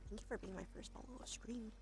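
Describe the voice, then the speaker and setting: A woman speaking softly and unhurriedly in a chatty ASMR livestream style, often slipping into a breathy whisper, close to the microphone. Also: fairly high pitch, fast. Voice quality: breathy whispery delivery with creaky fry. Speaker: streamer. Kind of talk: soft-spoken ASMR livestream, drifting into whispers. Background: music.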